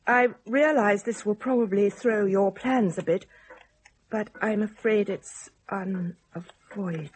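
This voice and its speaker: A woman playing a resentful, long-suppressed middle-aged daughter in a radio drama, with a British accent, a husky voice and pointed, sarcastic questions. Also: medium pitch, a little slow, slight background noise, close to an everyday voice. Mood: flat, reading aloud.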